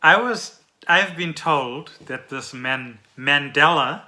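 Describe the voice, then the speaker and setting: A woman's voice: sits low, slow, sharp tone. A woman chatting casually as a podcast co-host at home. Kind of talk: casual chat. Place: at home, indoors.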